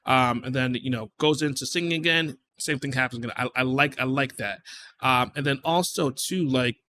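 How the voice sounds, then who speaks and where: A man hosting a reaction video, commenting casually on music and thinking aloud in halting fragments. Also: medium pitch, sharp tone.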